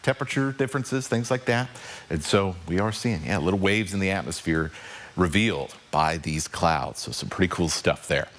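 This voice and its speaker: A man with a deep voice giving a relaxed, conversational live weather forecast on morning TV. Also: moderate pace. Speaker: TV meteorologist. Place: TV news studio.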